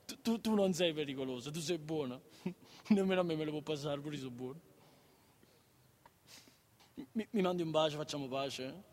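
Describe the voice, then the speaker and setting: An actor playing a stubborn, naive, troubled son in a radio drama, stammering and halting, with a southern Italian, Neapolitan accent. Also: male voice, fairly high pitch, darkish tone, lightly acted. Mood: gentle, sheepish. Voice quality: stammering, repeats first syllables. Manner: halting, childlike, insistent.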